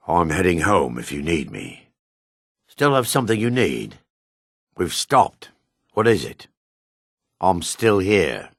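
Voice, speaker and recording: An actor playing a gentle, pious and remorseful dark elf priest as a video game companion, speaking in a measured, earnest, formal way. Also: male voice, deep voice, vocal fry, husky, dry recording, clean studio sound, exaggerated voice. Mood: calm, ready.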